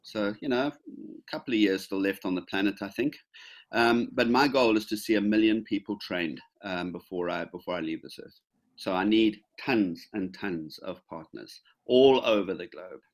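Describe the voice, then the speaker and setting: A man's voice: on the low side, normal speed. A man in his fifties answering questions on a video call, casual and hesitant, with many fillers and restarts. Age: 50s. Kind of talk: casual webinar Q&A.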